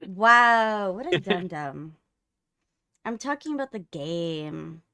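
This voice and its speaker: A woman's voice: on the low side, expressive, slow, pushed and bright. A woman hosting a livestream in casual chat, teasing her co-host playfully, close on the mic.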